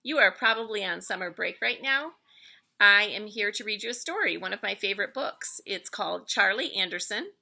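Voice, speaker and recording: Bright-voiced woman reading a children's story. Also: mid-range voice, lively intonation, moderate pace, creaky. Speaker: elementary school staff member reading aloud to pupils. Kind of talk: children's story read-aloud.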